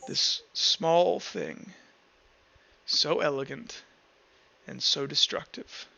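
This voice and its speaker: A man voicing a cold, brooding, world-weary villain in a tabletop game, with halting, deliberate pauses. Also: fairly high pitch, gravelly, pushed and bright, highs cut off, heavily acted. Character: brooding male villain of a dream world, coldly menacing, world-weary.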